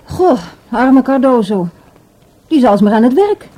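An actress playing a tender, curious and teasing lover in an intimate Dutch radio drama. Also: female voice, high-pitched, expressive, a little slow, dark tone, rough recording. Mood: pensive, teasing. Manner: intimate, playful, questioning.

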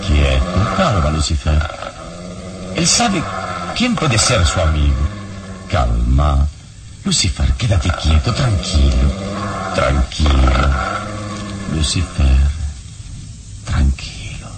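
A man playing a calm, heroic strongman who soothes a wounded beast, in measured, steady radio drama acting. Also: rumbling low, very noisy, full character voice. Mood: soothing.

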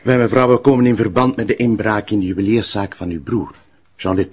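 A man's voice: lowish voice, brisk, breathy edge. An actor with a Flemish accent playing a blunt, teasing police detective in a radio drama, probing as he talks.

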